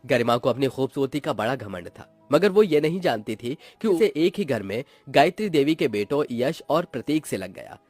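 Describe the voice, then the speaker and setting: Male narrator for cartoon moral stories, expressive and melodramatic, very animated intonation. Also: medium pitch, normal speed, few pauses, breathy edge, no room sound, soft background music. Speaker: story narrator. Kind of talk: scripted dramatic storytelling narration for a cartoon moral tale.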